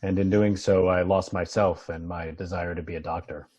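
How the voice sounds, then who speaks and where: A man's voice: sits low, little pitch movement, moderate pace, darkish tone, breathy edge. A man reading the part of a brooding, protective, hard-drinking former doctor in his late thirties at a screenplay table read. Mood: regretful, reflective. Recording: dull lo-fi video call audio.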